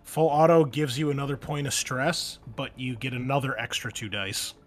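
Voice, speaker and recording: A man's voice: mid-range voice, normal speed. Man running a tabletop game over music. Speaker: game master. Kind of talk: running a tabletop roleplaying game, improvised narration and rules talk. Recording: background music under the voice.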